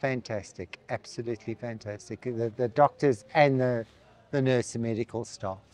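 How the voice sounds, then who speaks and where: A man's voice: mid-range voice, fairly even intonation, moderate pace, a little mellow. South African man in his sixties, emphatic. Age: 60s. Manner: emphatic, praising. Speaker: pilgrim interviewee. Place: outdoor town square.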